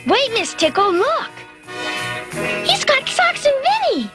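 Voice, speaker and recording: A woman voicing an excitable young schoolgirl in a 1970s TV cartoon, exclaiming urgently. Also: very high voice, slow, heavily acted. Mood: earnest, worried. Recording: loud music under the voice.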